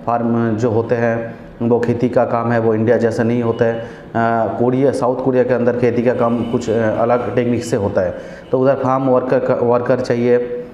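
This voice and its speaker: A man in his forties, a channel host, explaining job openings to camera in a steady, repetitive way, with many fillers and restarts, on a lapel mic in a small office. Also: mid-range voice, moderate pace, lo-fi sound. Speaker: visa agent and channel host. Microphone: clip-on lapel mic. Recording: echoey office with faint room noise.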